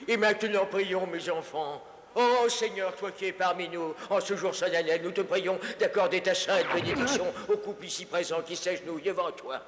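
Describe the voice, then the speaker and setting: A man voicing a solemn, easily flustered priest in a French animated dub, ceremonious and unctuous, in reverberant sound. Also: high-pitched, normal speed. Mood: solemn, pious. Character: solemn, easily panicked male wedding priest.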